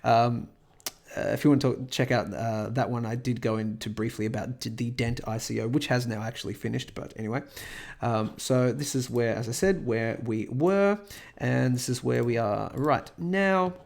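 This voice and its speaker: Host, male, hoarse and croaky from a cold, rambling with lots of fillers, gravelly edge, mid-pitched. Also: normal speed, darkish tone. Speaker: host of a crypto price analysis channel. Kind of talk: casual screen-recorded market commentary.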